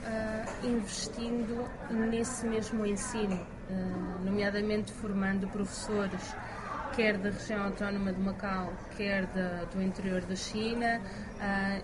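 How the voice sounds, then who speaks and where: A woman lecturer with a European Portuguese accent, answering in a measured way with hesitant fillers. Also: mid-range voice. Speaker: university lecturer. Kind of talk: interview answers. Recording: loud background noise.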